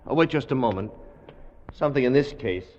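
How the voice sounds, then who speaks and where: An actor playing an intense, commanding scientist and time traveller in an old-time radio drama, speaking in an emphatic, theatrical way. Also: male voice, mid-range voice, lively intonation, a little fast, darkish tone, highs cut off, lightly acted. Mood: intent, wary. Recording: old radio recording with faint noise.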